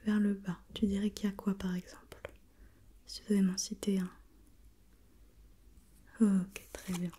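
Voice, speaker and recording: A woman whispering breathily in an ASMR role play, gently putting questions step by step as an examiner and softly repeating herself. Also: mid-range voice, level tone, a little slow, long pauses, slightly rough. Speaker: examiner in a role-played visual test.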